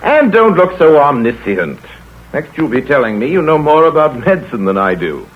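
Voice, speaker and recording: Village doctor, dry measured narration, husky, tinny old radio broadcast. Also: male voice, a little high, sing-song. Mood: dry, tetchy. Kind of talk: 1940s radio acting.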